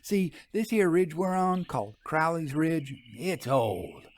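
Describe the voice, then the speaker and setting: A man's voice: a little high, animated, slow pace, pitched above the performer's own voice. A man voicing an informal rural driver with a folksy Southern US drawl, in a storybook reading. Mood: easygoing.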